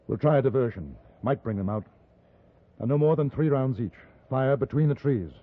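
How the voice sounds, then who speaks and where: An actor playing a resolute, reserved gentleman on the frontier, measured and understated, in a 1950s radio western. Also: medium pitch, expressive, natural voice. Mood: grim, brisk. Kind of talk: 1950s radio western acting with first-person narration. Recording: muffled vintage radio recording with music.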